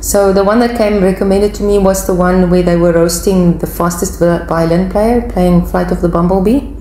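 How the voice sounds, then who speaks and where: A woman's voice: on the low side, fairly even intonation, normal speed, dark tone. A woman in her forties with a South African accent, chatting casually to viewers as she answers their questions. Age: 40s. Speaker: video channel host. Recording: echoey room with faint background noise.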